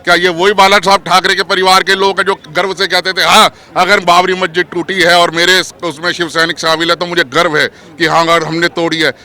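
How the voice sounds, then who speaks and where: A man's voice: sits high, level tone, brisk, hardly any pauses, sharp tone. A man giving argumentative political opinion in an interview, emphatic and rhetorical, mixing in English terms.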